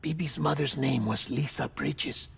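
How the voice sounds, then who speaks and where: An actor playing a wry, caring comrade in a video game cutscene, conversational and explanatory. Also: male voice, mid-range voice, a little slow, creaky, slightly rough, exaggerated voice. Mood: earnest, confiding.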